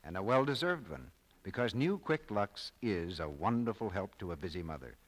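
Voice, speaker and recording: A man's voice: lowish voice, big pitch swings, normal speed. An American man working as a commercial announcer, reading radio advertising in 1940s style.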